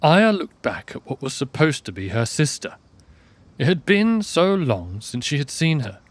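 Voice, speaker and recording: A man's voice: a little high, sing-song, moderate pace, soft background music. A man narrating an audio drama in a scripted storybook style.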